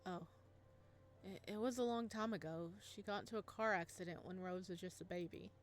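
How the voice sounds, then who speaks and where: A woman voicing a gentle adult male teacher and adoptive father in a visual novel, read softly and calmly. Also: lowish voice, brisk, slight background noise, natural voice.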